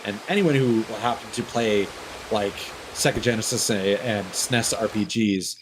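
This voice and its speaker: A man's voice: lowish voice, normal speed, background noise. A man chatting casually on a livestream as its host, rambling through his opinions. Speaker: live streamer hosting a game stream.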